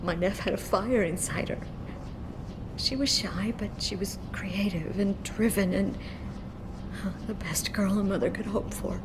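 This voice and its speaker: An actress playing a grieving, weary mother in a video game, speaking in a soft, halting, emotional way. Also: mid-range voice, noisy background, lightly acted. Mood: sorrowful. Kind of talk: dramatic video game acting.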